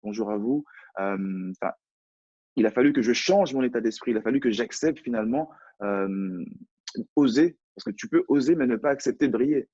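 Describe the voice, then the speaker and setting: A man's voice: medium pitch, moderate pace, mellow, no room sound, dull audio. A French man, a personal development coach, talking in a live online chat, hesitant and often repeating words. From France.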